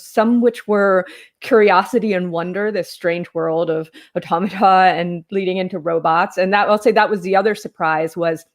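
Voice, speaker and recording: A woman speaking as a guest poet in an online interview, reflecting conversationally on her own writing. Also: mid-range voice. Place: live online video call.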